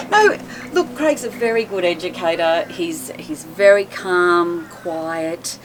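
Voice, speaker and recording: A woman guest in a casual interview, telling stories with frequent laughter and animated asides. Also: on the low side, slow, noisy background. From Australia. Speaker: interview guest, boat cruiser.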